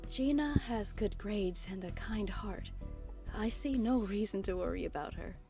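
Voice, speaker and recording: A woman playing a polite, caring high school teacher in a game cutscene. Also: unhurried, vocal fry. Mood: polite, concerned. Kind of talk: game cutscene dialogue acting. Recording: loud music behind the voice.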